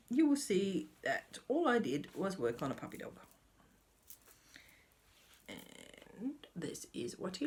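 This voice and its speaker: A woman's voice: medium pitch, slightly rough. A middle-aged woman chatting casually through her cross-stitch update, rambling and talking to herself as she checks her notes. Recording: faint background noise.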